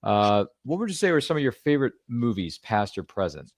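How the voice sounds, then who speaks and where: Fast-talking man hosting a chat show. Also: medium pitch, very animated, dry recording. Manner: chatty, playful, fond of references and catchphrases. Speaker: podcast host. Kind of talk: casual interview chat.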